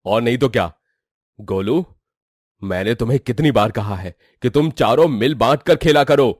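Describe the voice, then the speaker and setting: A man's voice: mid-range voice, moderate pace, darkish tone, no echo, studio quality, natural voice. A man playing an earnest family man who protests and reproaches in a melodramatic moral-story drama. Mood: reproachful. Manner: protesting, indignant.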